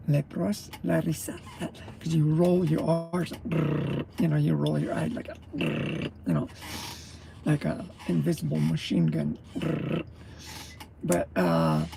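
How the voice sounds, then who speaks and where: A man's voice: a little high, darkish tone, slight background noise. A man, a truck driver, rambling through a casual morning livestream and greeting viewers as he chews his breakfast. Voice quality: gravelly. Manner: chewing while talking.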